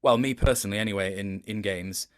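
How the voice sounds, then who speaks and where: A man's voice: on the low side, fast talker, clear, resonant tone. A man talking as a voice actor guest in a casual interview, telling anecdotes in a hesitant, rambling, appreciative way.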